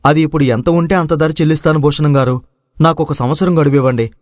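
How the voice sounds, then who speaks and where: A man's voice: fairly high pitch, fairly even intonation, fast talker, no room sound, clean studio sound, lightly acted. A man playing an earnest, respectful, devoted young son who farms, in a dramatized moral story. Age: young adult.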